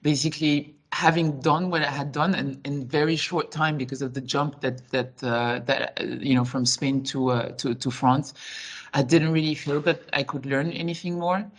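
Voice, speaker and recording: A woman's voice: low-pitched, steady tone, normal speed. A woman who grew up between Switzerland and Senegal, speaking as a company chief executive in an interview. She answers frankly and reflectively, phrasing her thoughts loosely as she goes.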